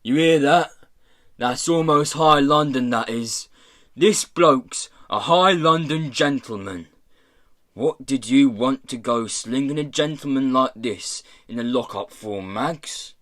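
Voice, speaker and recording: A man voicing a rough pirate mayor in a storybook, blustering and bullying, with a fawning politeness toward gentlemen. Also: mid-range voice, slow pace, dry recording, studio quality. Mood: impressed. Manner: working-class turns of phrase, swings from threats to humble politeness.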